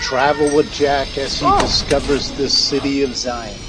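Slow, dramatic male storyteller. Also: mid-range voice, steady tone. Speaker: narrator. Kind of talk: storybook narration over music. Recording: narration over prominent music bed.

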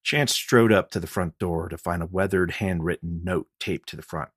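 A man with a low voice narrates a mystery story as an audiobook. The recording is dry, with hardly any room sound, and he reads from a script as the author. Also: moderate pace, a bit bright, breathy edge, dry recording. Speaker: author reading his own audiobook.

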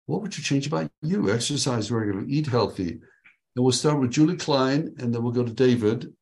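A man leading a group workshop over a video call from his home, upbeat and joking, slowing down to dictate phrases for people to write down. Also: medium pitch, expressive, creaky, darkish tone. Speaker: workshop leader.

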